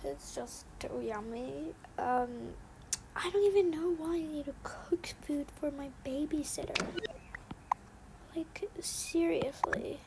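A woman's voice: high-pitched, slow, vocal fry, heavily acted. A woman voicing a put-upon little girl in a toy-game roleplay, hesitant and mildly whiny. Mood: hesitant, grumbling. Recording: noisy home recording with clicks.

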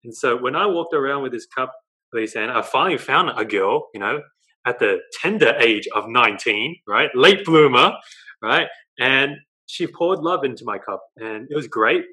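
Young Australian man, bright forceful voice, animated storyteller, earphone mic on a video call from his living room. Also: medium pitch, moderate pace, low-quality recording. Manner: animated storytelling, gestures a lot, tags lines with "right?". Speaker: guest, dating coach.

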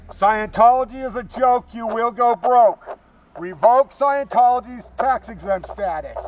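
A male activist calls out slogans through a bullhorn outdoors. His voice is high and loud, and he delivers each phrase slowly and deliberately, in a repetitive, declamatory chant. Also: rough recording. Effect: bullhorn amplification. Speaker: activist protester. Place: outdoors at a forest roadside.

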